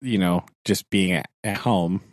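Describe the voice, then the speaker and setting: A man's voice: low voice, a little slow, dry recording. Chatty laughing man, dry close sound. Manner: chatty, laughs often, acts out his own thoughts. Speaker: podcast co-host, teacher. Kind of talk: casual chat. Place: home.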